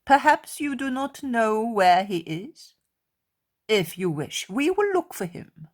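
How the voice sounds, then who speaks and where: A woman's voice: fairly high pitch, sing-song, slow, voice pushed up, full character voice. A woman doing an insinuating, falsely helpful man.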